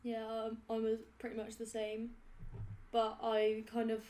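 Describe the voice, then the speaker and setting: A woman's voice: on the high side, completely flat delivery, measured pace, mellow. A woman in her late teens in a group talk, speaking thoughtfully and chiming in on others. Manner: thoughtful, chimes in, trails off with likes. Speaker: group member, guest. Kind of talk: casual group discussion. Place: meeting room.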